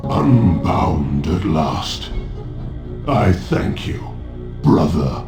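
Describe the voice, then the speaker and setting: An actor playing a huge, arrogant vampire warrior lord in a video game, booming, haughty and menacing. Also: male voice, very animated, heavily acted. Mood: relieved, sinister. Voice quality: booming, very deep. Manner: slow, haughty, ponderous. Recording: echoing chamber, music underneath.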